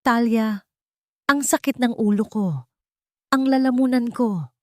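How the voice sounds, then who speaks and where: A woman narrator acts out a small, sickly boy in an audiobook. The voice is lifted higher, feeble and plaintive, with a dry studio sound. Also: steady tone, unhurried, no echo, close to an everyday voice. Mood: feeble, pained. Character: sick, weak little boy.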